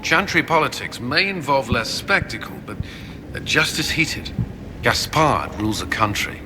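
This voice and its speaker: Man playing composed castle adviser, formal, measured, middle pitch, video game dialogue, clear background noise. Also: big pitch swings, lightly acted.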